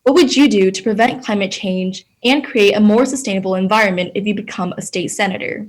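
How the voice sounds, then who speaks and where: A woman's voice: mid-range voice, fairly quick. A young woman moderates a candidate forum in a mid-range voice. She reads prepared questions and ground rules formally and politely hands over to each speaker.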